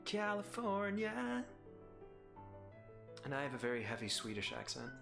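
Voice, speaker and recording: A man's voice: a little high, very animated, a little slow, vocal fry, over music. A man with an Arizona accent hosting a live stream, chatting casually with viewers in a playful, teasing, rambling way.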